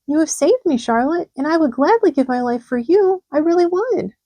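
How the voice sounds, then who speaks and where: A woman voicing an excitable young male pig who pleads loudly and desperately. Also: normal speed, dry recording. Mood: earnest. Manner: high, loud, desperate pleading.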